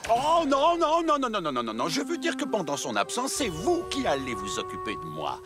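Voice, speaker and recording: A theatrical, scheming dragon creature from a cartoon. His high voice swoops wildly as he wheedles with exaggerated politeness and self-pity. Music plays clearly behind him. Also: wildly swooping pitch, moderate pace. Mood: smug, demanding. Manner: melodramatic, mock-polite, wheedling. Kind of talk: French cartoon dub acting. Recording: loud background music.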